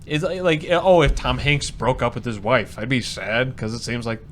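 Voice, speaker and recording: A man chats as a podcast co-host in a loose, joking style. His voice sits at an average pitch, and he likes to play out imagined exchanges. Faint noise and room ambience sit behind him. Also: moderate pace. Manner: acts out imagined dialogue jokingly, profane. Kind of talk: casual banter, joking chat.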